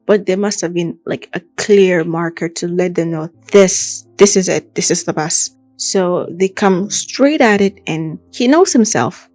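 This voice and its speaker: A woman's voice: on the low side, no echo. Nigerian woman telling true crime, chatty. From Akwa Ibom, southern Nigeria. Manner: chatty, digressive, animated retelling with asides. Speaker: true crime podcast host. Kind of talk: casual conversational true crime storytelling.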